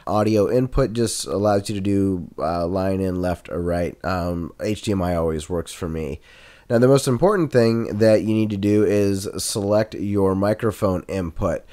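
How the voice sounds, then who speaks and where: A man giving a casual Let's Play tutorial into a USB condenser mic at his home gaming setup, talking relaxed with many uhs and ums. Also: low-pitched, moderate pace. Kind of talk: casual software tutorial and game commentary. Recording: voice over loud game music. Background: game music.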